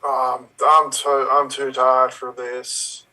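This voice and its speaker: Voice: medium pitch, flat delivery, slow, bright, forceful tone, poor audio. A performer playing a hungover drunk man, groggy and mumbling over a video call.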